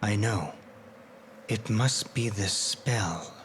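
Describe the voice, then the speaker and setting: A man playing a cold, brooding shadow knight in a fantasy game, with grim, measured delivery. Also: fairly low pitch, slight background noise. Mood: subdued. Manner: deadpan threats, grim, measured. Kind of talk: dramatic fantasy game acting. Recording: game scene under loud music.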